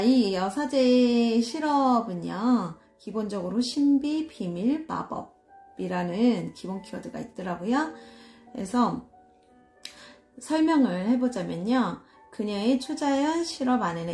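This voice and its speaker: Slow-spoken woman tarot reader from Seoul. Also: a little high, animated, soft background music. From Seoul, South Korea. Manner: slow, hesitant, self-deprecating, frequent fillers and soft laughs. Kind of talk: casual solo video talk explaining tarot cards.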